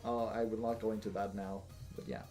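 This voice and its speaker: A young man hosting a casual Q&A video, talking in a flat, monotone way with lots of fillers and restarts. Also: fairly low pitch, a little fast, faint music behind. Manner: monotone, rambling with many fillers. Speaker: gaming channel host. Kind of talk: casual Q&A monologue.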